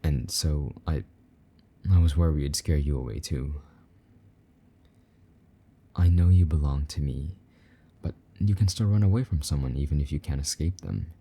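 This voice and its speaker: A man playing a possessive but tender, lonely demon lover in an intimate romantic audio roleplay, speaking softly and coaxingly in a husky voice. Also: exaggerated voice. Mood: confessional, loving. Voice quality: very deep, dark, husky. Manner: soft, slow-burning, gently coaxing endearments.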